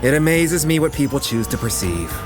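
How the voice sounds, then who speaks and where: A man's voice: mid-range voice, sing-song, a little fast, over music, close to an everyday voice. A man voicing a wry drama narrator. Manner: wry, teasing.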